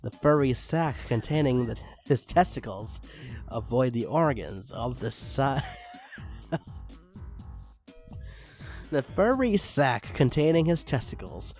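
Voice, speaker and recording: A man reading fan fiction aloud in a mock-dramatic style, breaking off for amused asides. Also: mid-range voice. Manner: animated, breaks off to comment. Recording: music under the voice. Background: background music.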